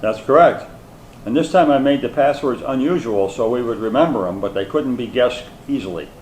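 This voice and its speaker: A man's voice: medium pitch, brisk, a little mellow, recorded from a distance, lightly acted. An older man playing a wry, stubborn comic foil in an amateur readers theater comedy, with dry, matter-of-fact delivery. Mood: stubborn, evasive. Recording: echoey room, faint background noise.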